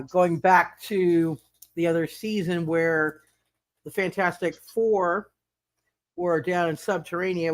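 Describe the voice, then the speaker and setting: A man's voice: a little high, no echo. A man hosting a roleplay livestream as game master, chatting casually and often repeating words and restarting his sentences. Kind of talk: casual livestream chat and recap.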